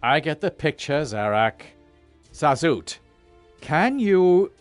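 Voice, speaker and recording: Lively, resolute fantasy hero. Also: male voice, on the high side, takes it slowly, over music. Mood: wary. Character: resolute, dry-witted male fantasy hero. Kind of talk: fantasy game cutscene acting.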